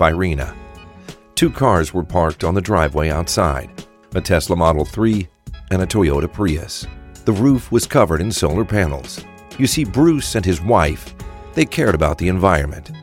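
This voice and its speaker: A man narrating a scripted audio drama as storyteller. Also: low voice.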